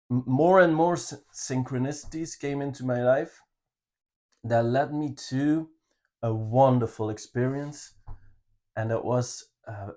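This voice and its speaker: Slow, earnest Belgian man. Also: medium pitch, darkish tone. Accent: Flemish Belgian. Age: 30s. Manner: earnest, halting, with restarts. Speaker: channel co-host. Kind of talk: personal life story, casual talk.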